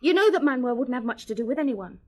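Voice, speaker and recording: A woman's voice: on the high side, animated, fast talker. An actress playing a grieving, defensive young woman in a radio drama. She answers sharply and swears. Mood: shaken.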